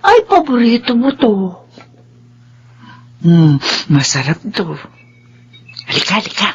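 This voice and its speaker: A woman's voice: medium pitch, very animated, takes it slowly, lo-fi sound, slight background noise. A woman acting a doting, anxious mother in a radio drama, coaxing and pleading as she speaks. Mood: coaxing, tender. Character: doting, anxious mother tending her child.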